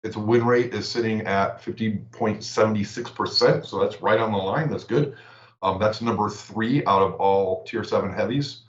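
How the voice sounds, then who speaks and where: Low-voiced man in an echoey room. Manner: halting, repeats words, reads out stats. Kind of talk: casual livestream chat. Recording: echoey room, dull lo-fi audio.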